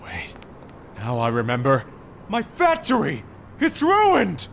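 A man's voice: a little high, huge pitch swings, takes it slowly, full character voice. A man playing a flustered, indignant factory owner with an emphatic, self-important manner in an audio drama. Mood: dazed, distraught. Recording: clear outdoor street noise behind.

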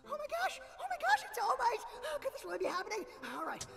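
An excitable schoolboy hero-hopeful in a parody cartoon. The voice is high, scratchy and swings widely, nervous and rambling, with long reverb around it. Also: female voice, fast, gravelly, over music, full character voice. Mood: frantic excitement. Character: anxious, excitable teenage schoolboy would-be hero. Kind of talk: anime parody dub acting.

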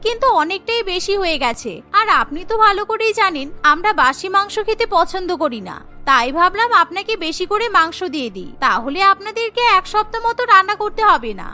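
Woman acting a high, pointed young daughter-in-law. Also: sing-song, moderate pace, bright, forceful tone, faint music behind, exaggerated voice. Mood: falsely sweet. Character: crafty young daughter-in-law, scolding or falsely sweet. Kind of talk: cartoon character acting.

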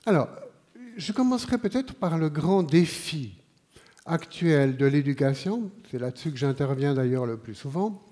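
A man in his sixties from Switzerland with a Swiss French accent, giving a conference talk on a large auditorium stage with a headset mic, speaking in a measured, unhurried way with pauses and fillers. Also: a little high, lively intonation, warm tone.

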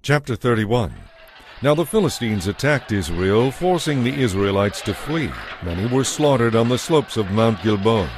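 A man narrating a dramatized Bible as scripted storytelling, in a solemn, measured manner. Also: medium pitch, lively intonation, background noise.

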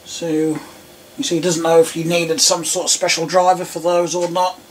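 An English man in his thirties with a croaky voice, chatting casually and rambling with lots of ums while showing computer parts at home. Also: fairly high pitch, moderate pace, distant mic, poor audio. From England. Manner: rambling, relaxed, frequent ums. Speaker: tech vlogger. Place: small home room indoors. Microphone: camera mic at a distance. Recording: faint room noise, voice a bit off-mic.

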